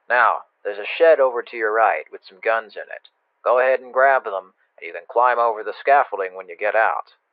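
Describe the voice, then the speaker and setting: A man's voice: lowish voice, no echo, phone-quality sound. A man playing an impatient, sardonic military instructor who gives casual game tutorial directions over a radio. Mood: wry. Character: impatient, wry male military training instructor. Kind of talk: video game tutorial narration. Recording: thin, dry radio-like band-limited sound.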